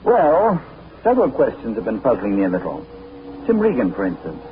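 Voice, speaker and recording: A man playing a suave gentleman adventurer with dry understatement, in a 1940s radio drama. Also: mid-range voice, wildly swooping pitch, a little fast. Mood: wry. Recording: tinny, noisy 1940s radio broadcast.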